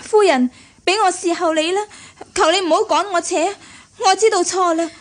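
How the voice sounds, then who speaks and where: An actress playing a young, modest and earnest private nurse in a radio drama. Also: female voice, extremely high pitch, moderate pace. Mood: anxious, pleading. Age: young adult. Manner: earnest, deferential. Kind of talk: Cantonese radio drama acting.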